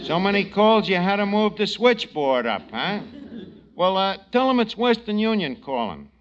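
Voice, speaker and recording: An actor playing a brash, slangy, scheming tavern manager in a 1940s radio comedy. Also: male voice, high-pitched, very animated, normal speed, noisy background. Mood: fast-talking, sly. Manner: slangy, ungrammatical, mangles words. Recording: dull vintage radio broadcast recording.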